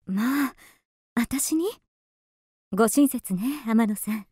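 An actress plays a refined, reserved lady traveller in a radio drama, wistful and guarded. Her voice is mellow and slightly high, recorded dry and close. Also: slow pace, breathy edge, no echo, full character voice. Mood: gracious, wistful. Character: veiled woman in mourning, wistful, guarded, elegant traveller.

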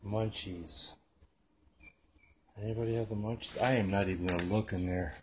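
A man's voice: fairly low pitch, fairly even intonation, rapid-fire, a little mellow, slight background noise. A man hosting an exploring video, giving casual, off-the-cuff commentary with muttered exclamations and some swearing. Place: on location at an abandoned house.

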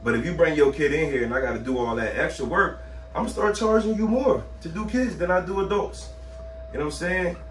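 A man's voice: on the high side, brisk, fairly bright tone. Slangy man ranting in an echoey room. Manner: exasperated, emphatic, colloquial. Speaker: barber, video host. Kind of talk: casual rant to camera, slangy. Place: small indoor barbershop room. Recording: roomy echo, distant mic, faint noise.

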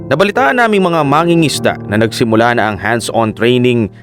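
A man's voice: mid-range voice, expressive, a little fast, music in the background, close to an everyday voice. A man playing a fisherman husband and father who speaks hesitantly in a radio drama. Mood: reflective. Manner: hesitant, stammering. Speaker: voice actor. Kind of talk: radio drama acting and narration.

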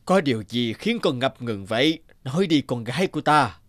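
A man's voice: mid-range voice, expressive, normal speed, a bit bright, no room sound, clean studio sound, natural voice. A man voicing an old heavenly emperor in a cartoon, a stern father who speaks in a commanding, emphatic way. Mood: doting.

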